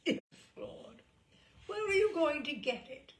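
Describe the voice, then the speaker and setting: A woman's voice: extremely high pitch, lively intonation, takes it slowly, a little hiss or hum, pitched above the performer's own voice, heavily acted. A woman voicing a stern, sarcastic older woman in a storybook reading, cutting, emphatic and formal. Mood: sarcastic, scornful.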